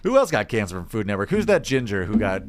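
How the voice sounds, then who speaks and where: A man's voice: on the low side, sing-song, fast. A man chatting casually as a podcast co-host, joking and giving his opinions freely. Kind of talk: casual comedic chat.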